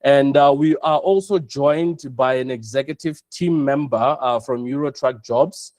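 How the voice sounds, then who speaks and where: A man's voice: medium pitch, a little mellow, no echo, clean studio sound. South African man hosting a webinar. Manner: measured, repeats points for emphasis. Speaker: webinar host, operations chief. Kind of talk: presenting an online webinar.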